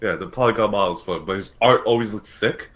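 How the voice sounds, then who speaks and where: A man's voice: fairly low pitch, fast talker, fairly bright tone. A man hosting a casual gaming talk show, thinking aloud and correcting himself in a conversational way. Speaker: podcast host.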